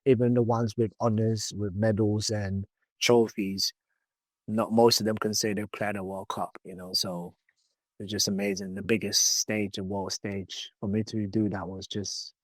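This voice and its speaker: A man's voice: fairly low pitch, level tone, measured pace, no room sound, rough recording. A man in his thirties whose first language is Twi, talking loosely in an interview as a footballer guest with many fillers. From born in England, raised in Ghana. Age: 30s. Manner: chatty, loose, many "you know" fillers and restarts. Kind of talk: casual interview.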